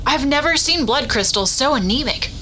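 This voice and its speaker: An actress playing an excitable, intense woman scientist in a radio drama. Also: sits high, normal speed. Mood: fascinated. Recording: dramatic music behind voice.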